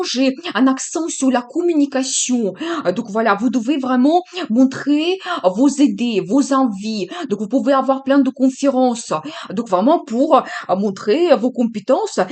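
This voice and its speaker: Higher-pitched woman giving a halting tarot reading. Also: darkish tone. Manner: hesitant, many fillers, encouraging. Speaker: tarot reader.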